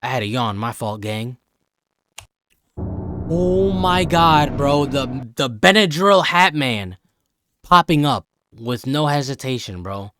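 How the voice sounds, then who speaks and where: A man's voice: mid-range voice, expressive, slow, sharp tone, no echo. A young American man giving casual, joking reaction commentary in exclamatory slang. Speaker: host of a reaction channel.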